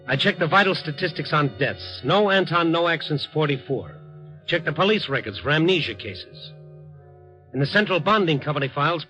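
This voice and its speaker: A man's voice: mid-range voice, bright, forceful tone. A man playing a dogged newspaper reporter in a 1950s radio drama, American, earnest and clipped, with hard-boiled delivery. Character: dogged male newspaper reporter narrating his night investigation. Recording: dull vintage radio recording, music behind.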